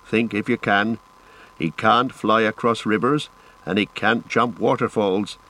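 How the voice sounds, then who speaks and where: A man's voice: on the low side, steady tone, measured pace, a bit bright, rough recording. A man voicing a loyal, plain-spoken hobbit servant from a storybook, rustic and anxious. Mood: steadying himself.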